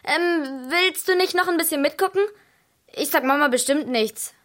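A very high, sharp girl's voice. She is a bratty sister who scares easily and speaks emphatically, as in a children's radio drama. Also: moderate pace. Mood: timid. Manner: urgent, emphatic. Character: bratty, easily frightened girl, the older sister.

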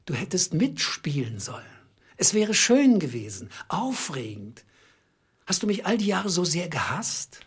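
An actor playing a middle-aged, bitter clerk who speaks in a deliberate, accusing, sarcastic way in a radio drama. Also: male voice, high voice, sing-song, slightly rough, exaggerated voice. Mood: regretful. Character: bitter, calculating small clerk confronting his treacherous wife.

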